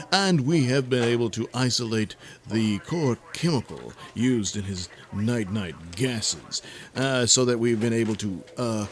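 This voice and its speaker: A man playing a blithely reassuring hospital doctor who rambles hesitantly in a comedic audio serial. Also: on the low side.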